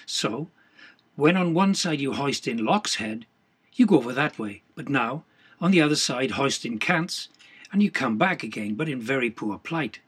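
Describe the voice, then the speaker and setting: A man reading literary narration for an audiobook in a husky, gravelly voice. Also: mid-range voice, expressive, moderate pace, a bit bright.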